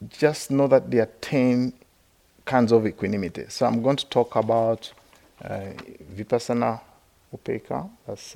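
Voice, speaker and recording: A middle-aged man from Uganda, a Buddhist monk, giving a halting, explanatory dharma talk with many fillers at a meditation retreat. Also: medium pitch, gravelly, warm tone. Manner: laughs while telling stories.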